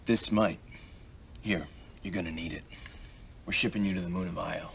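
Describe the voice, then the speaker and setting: An actor playing a gruff, sardonic military commander giving mission briefings in a video game cutscene. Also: male voice, low voice, fairly even intonation, moderate pace, a bit bright, a little hiss or hum. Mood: wry, stern. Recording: music behind the voice. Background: music.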